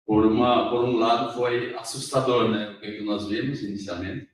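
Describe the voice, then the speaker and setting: Low-voiced male interpreter in an echoey hall. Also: fairly even intonation, moderate pace, distant mic. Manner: rephrases as he goes, with fillers and restarts. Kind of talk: consecutive interpreting.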